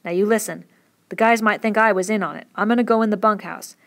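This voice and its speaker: A woman voicing a weary, quiet ranch worker who speaks in a soft rural drawl. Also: mid-range voice, fast talker, creaky. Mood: urgent.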